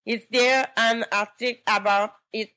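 Slow-speaking woman caller from Quebec. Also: a little high, fairly even intonation, bright, forceful tone. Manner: slow, careful non-native phrasing. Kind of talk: recorded listener question.

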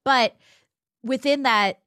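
A woman's voice: high voice, slow, fairly bright tone, no room sound, clean studio sound. A woman chatting casually as a podcast guest. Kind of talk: casual chat.

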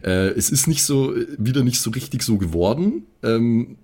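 A man's voice: mid-range voice, animated, normal speed, darkish tone. A man in a casual, slangy podcast chat, dropping English expressions into his talk. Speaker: podcast regular.

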